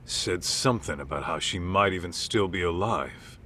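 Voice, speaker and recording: An actor playing a gruff middle-aged ex-gangster turned detective in a video game dub, talking bluntly and wearily in informal, clipped phrases. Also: male voice, low-pitched, moderate pace, bright, forceful tone, slight background noise. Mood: weary, exasperated.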